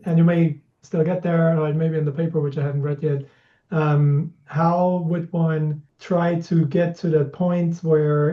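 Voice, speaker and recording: A man asking a long, measured interview question, hedging often with "kind of". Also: on the high side. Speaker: interviewer, audience member. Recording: dull lo-fi audio.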